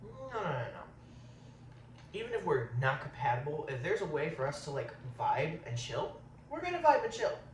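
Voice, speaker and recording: A man's voice: fairly high pitch, big pitch swings, normal speed. Rambling man in his thirties, roomy sound. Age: 30s. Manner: rambling, says um a lot. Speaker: vlogger. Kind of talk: casual chat while eating on camera. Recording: roomy, distant, faint hiss.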